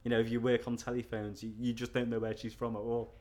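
A middle-aged man with a Scouse accent answers interview questions at a mid pitch. He rambles in a casual, chatty way, full of fillers and restarts. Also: completely flat delivery, quick pace, darkish tone. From North Wales.

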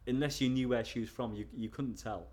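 A man's voice: mid-range voice, fairly even intonation, fairly quick, darkish tone. A middle-aged man from North Wales with a Scouse accent, talking casually in an interview and rambling with lots of fillers and restarts.

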